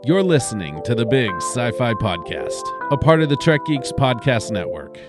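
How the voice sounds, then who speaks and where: A man's voice: medium pitch, very animated, normal speed, loud music behind the voice. A man co-hosting a podcast, speaking in a casual, folksy promotional style. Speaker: podcast co-host and announcer.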